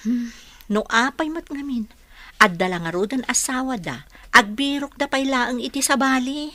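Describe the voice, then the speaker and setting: An older Ilocano confidante in a radio drama, frank yet sympathetic. Her voice is bright and forceful, recorded close and dry. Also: high-pitched, moderate pace, no room sound. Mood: probing, disapproving.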